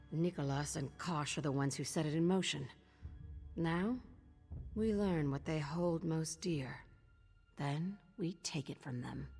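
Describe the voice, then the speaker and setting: A woman's voice: low-pitched, darkish tone. A woman playing a grieving, betrayed thief in a video game, speaking in a terse, grave, resolute way. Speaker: game character. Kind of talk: dramatic game dialogue. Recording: long hall reverb, music underneath.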